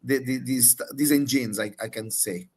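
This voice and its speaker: A man moderating a live Q&A, putting questions in a halting way as he searches for words and rephrases. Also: medium pitch, darkish tone, slightly rough. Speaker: moderator relaying audience questions.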